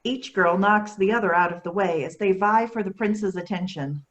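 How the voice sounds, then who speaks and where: A woman's voice: mid-range voice, normal speed, muffled recording. A woman narrator reading scripted stage directions for a play.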